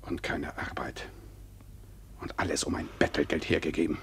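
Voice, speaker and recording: An actor in a German radio play playing a bitter, gloomy, duped farmer with a gruff, gravelly voice. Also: male voice, a bass voice, steady tone, normal speed, whispered. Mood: bitter, brooding. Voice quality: gravelly, breathy.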